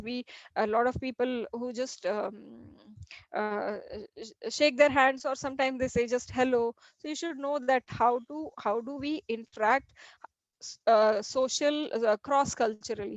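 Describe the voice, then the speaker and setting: High-voiced Indian woman teacher, lecturing. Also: no echo. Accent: Indian English. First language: Hindi. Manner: explanatory, repeats and restarts phrases. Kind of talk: classroom lecture. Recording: dull lo-fi dry recording.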